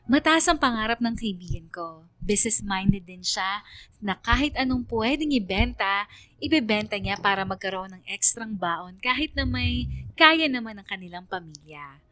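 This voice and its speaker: A woman's voice: medium pitch, expressive, normal speed, a bit bright. A woman with a Filipino accent narrating a scripted radio story in an earnest, sentimental way.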